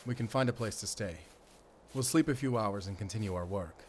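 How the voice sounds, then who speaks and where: An actor playing a mysterious, confident monster hunter with a German accent in a gothic horror audio roleplay, speaking in a measured way to a companion. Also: male voice, on the low side, darkish tone, a little hiss or hum, close to an everyday voice. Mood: grim, explaining.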